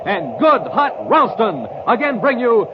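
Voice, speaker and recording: A male 1950s radio announcer delivers scripted copy in an urgent, punchy way. His voice is high and swings widely, with booming flourishes, on a muffled, noisy old recording. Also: big pitch swings, a little slow, a crowd in the background.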